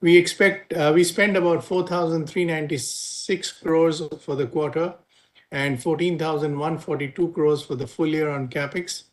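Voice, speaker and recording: A man with an Indian accent delivering prepared financial remarks as a company executive, formal and measured. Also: fairly high pitch, steady tone. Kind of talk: prepared earnings call remarks.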